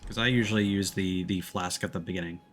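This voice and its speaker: A man giving casual, explanatory let's-play commentary as a game streamer, talking in a halting way. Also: sits low, fairly even intonation, a bit bright, a little hiss or hum. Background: background music.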